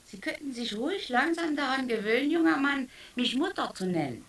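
Pushy mother, high and roomy. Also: big pitch swings, a little fast, fairly bright tone, exaggerated voice. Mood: bossy, prodding. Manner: insistent, reproachful. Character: pushy middle-aged mother, indignant, bossy petty-bourgeois wife. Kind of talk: German radio drama acting. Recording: roomy, distant from the microphone.

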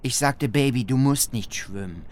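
Ein Schauspieler spielt einen Ehemann, der in einem dramatischen Monolog eindringlich und entschlossen von seiner Flucht vor einer Flut erzählt. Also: male voice, medium pitch, normal speed, slight background noise, close to an everyday voice. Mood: urgent. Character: married man recounting a flood escape, urgent and determined. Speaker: radio drama actor. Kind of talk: dramatic first-person survivor monologue.

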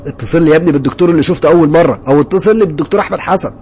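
A sleepless Egyptian male doctor at breaking point, acted by a male storyteller in a horror tale. He shouts in agitation, his voice driven high and fast, full of desperate temper. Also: slight background noise, close to an everyday voice. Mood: angry threats.

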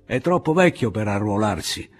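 A man's voice: on the low side, sing-song, moderate pace, voice pushed up. A man voicing a tough older woman guerrilla leader with a raised, emphatic delivery, in an audiobook reading.

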